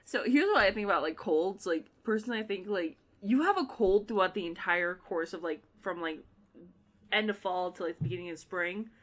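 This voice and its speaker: A woman's voice: mid-range voice, lively intonation, a little fast. A woman co-hosting a casual podcast with friends, rambling through her points with lots of "like" and restarts. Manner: rambling, heavy use of "like". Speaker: podcast co-host. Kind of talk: casual chat among friends.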